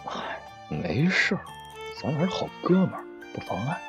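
A man's voice: a little high, sing-song, normal speed, lo-fi sound, music in the background. A man playing a flustered, blustering young man who stammers out lame excuses in an audio drama. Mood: evasive, flustered. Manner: exclamatory, stammering, colloquial. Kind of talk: live audio drama acting.

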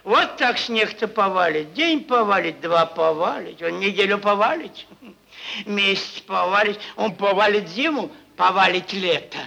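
A man's voice: high-pitched, expressive, unhurried, vocal fry, sharp tone, heavily acted, poor audio. An actor playing a crude, mocking merchant boss who rambles and repeats his words in a theatrical stage style. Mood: rambling musing. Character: crude, drunken, bullying male merchant boss, cynical and rambling. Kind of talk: theatrical stage acting, Russian classic prose.

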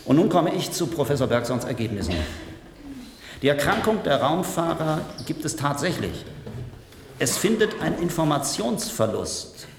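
Formal scientist in an echoing hall. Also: male voice, a little high, sing-song, vocal fry. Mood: matter-of-fact. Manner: formal, deliberate, authoritative. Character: grave, measured male scientist delivering an official briefing. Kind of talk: formal lecture in a German radio play. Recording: echoey hall with long reverb, faint noise.

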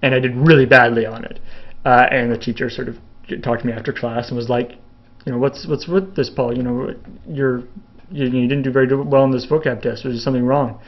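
A man's voice: mid-range voice, fairly even intonation, a little fast. Young canadian guy rambling thru viewer q's, lots of uhs, kinda muffled sound. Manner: rambling, many fillers and restarts, laughs a little. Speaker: video host answering viewer questions. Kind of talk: casual live Q&A chat.

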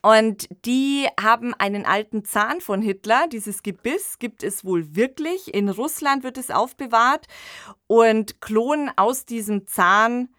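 A woman's voice: on the high side, lively intonation, slow, fairly bright tone, dry recording, clean studio sound. A woman co-hosting a conversational discussion about literature, talking in a reflective podcast style. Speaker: podcast co-host discussing radio plays and books.

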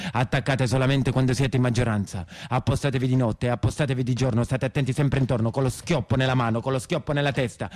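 An actor playing an embittered imprisoned peasant brigand in a theatrical monologue, speaking emphatic, repetitive dialect with a southern Italian accent. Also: male voice, mid-range voice, no room sound, clean studio sound, close to an everyday voice. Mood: fierce, commanding.

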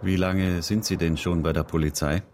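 Actor as a deep-voiced, condescending male official. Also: steady tone, moderate pace, darkish tone, a little hiss or hum, natural voice. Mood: sceptical. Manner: officious, dismissive questioning. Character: sceptical, condescending male senior ministry official. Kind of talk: radio drama dialogue.

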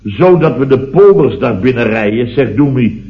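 A man's voice: mid-range voice, expressive, normal speed, lightly acted. Man acting a blustering, exclamatory builder boss. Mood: exasperated. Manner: exclamatory, rambling, indignant storyteller with tag words. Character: blustering middle-aged builder boss telling weekend mishaps. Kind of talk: late-1960s Dutch radio comedy serial acting. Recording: muffled old radio recording.

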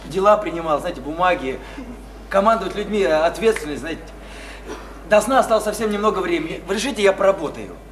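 An actor in a Russian radio play playing a flustered, squeamish, self-important lodger who stammers and repeats himself fussily. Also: male voice, sits high, expressive, fast talker. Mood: awkward, evasive. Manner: stammering, repetitive, fussy, indignant. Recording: noisy, roomy radio play recording.

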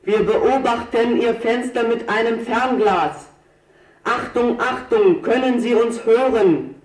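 An earnest boy in a radio play. His very high voice calls out announcements over an echoing, distant loudspeaker. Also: slow pace. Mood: urgent, earnest. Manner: declamatory announcing. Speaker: actor. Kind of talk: German radio drama acting. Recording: echoey loudspeaker, distant from mic.

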